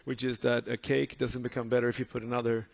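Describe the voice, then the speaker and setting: Male Swedish juror, native Swedish speaker, fairly even pitch range with gravelly fry, halting unscripted delivery. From Sweden. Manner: halting, repeats words while thinking. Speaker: jury member on a panel. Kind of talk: unscripted panel talk.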